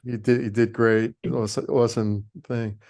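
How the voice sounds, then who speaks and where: A man with a voice of middling pitch puts questions to the presenter. He hesitates, fills pauses, and restarts his sentences as he goes. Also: little pitch movement, darkish tone. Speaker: audience member asking questions. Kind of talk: halting questions on a call.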